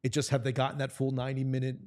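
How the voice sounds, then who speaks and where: A man's voice: mid-range voice, monotone, very fast, a little mellow. Guy who does play by play, talking sports in a conversation, earnest, backs the players. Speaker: radio play-by-play sports announcer, guest.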